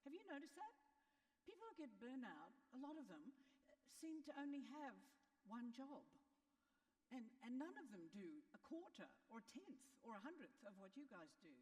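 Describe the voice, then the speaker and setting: Brief: middle-aged Australian woman, keynote speaker, animated bouncing pitch, long hall reverb. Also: moderate pace. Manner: lively, joking, talks straight to the crowd. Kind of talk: motivational keynote to an audience. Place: large hall.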